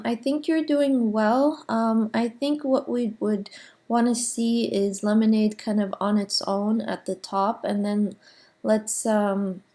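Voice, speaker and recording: A woman teacher giving recorded design critiques to her class, with allergies and some sniffling, talking in a relaxed way with frequent ums. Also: mid-range voice. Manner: relaxed, frequent ums and uhs, gently corrective.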